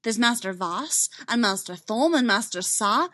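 A woman voicing a distressed, insistent young female Jedi apprentice in dramatic audiobook dialogue, with emphatic, halting protests. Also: on the high side, very animated, pitched above the performer's own voice. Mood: urgent, insistent.

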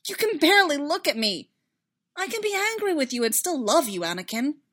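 An earnest, loving woman from an audiobook romance, put on by a female reader. She is firm and insistent, leaning on her words in a fairly high voice. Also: big pitch swings, measured pace, darkish tone. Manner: emphatic, insistent.

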